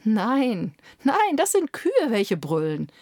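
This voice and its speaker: A woman voicing a poor little kitchen girl who talks high, soft and earnest. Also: huge pitch swings, slow, no room sound, studio quality, exaggerated voice. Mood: correcting.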